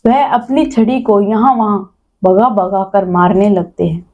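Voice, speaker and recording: A woman's voice: mid-range voice, a little slow, narrow, tinny audio. A woman narrating a scripted dramatic story as a storyteller.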